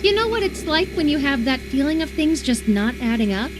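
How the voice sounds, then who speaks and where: A woman playing an obsessive, sweetly menacing young female captor in a dramatic horror visual novel, coaxing with a sharp edge. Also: sits high, very animated, a little fast, background noise. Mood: eerie, accusing.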